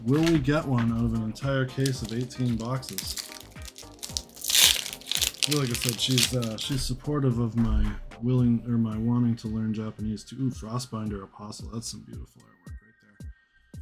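A man with a mid-pitched voice talks casually and chattily over clearly audible music. He rambles along in an easy, slangy way, as if hosting a video from a table. He sounds middle-aged and relaxed in his delivery. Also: normal speed, poor audio. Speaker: trading card shop owner hosting an unboxing video. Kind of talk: casual rambling chat while opening card packs. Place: indoor room at a card-opening table. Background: music, crinkling card packs.

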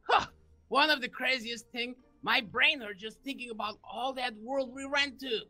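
A man's voice: very high-pitched, moderate pace, pushed and bright, soft background music, exaggerated voice. A man voicing a goofy, simple-minded purple dinosaur in a cartoonish gaming roleplay. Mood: bewildered.

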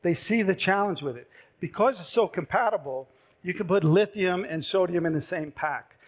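A man in his fifties giving a brisk, persuasive startup pitch on a large conference stage through a headset mic, his voice going hoarse. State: losing his voice.

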